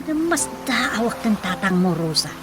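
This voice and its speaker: A woman's voice: mid-range voice, big pitch swings, unhurried, over music. A woman playing a middle-aged, superstitious village mother in a radio drama, telling things expressively with a Filipino Ilocano accent. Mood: skeptical, concerned. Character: chatty superstitious village mother who believes in folk healing.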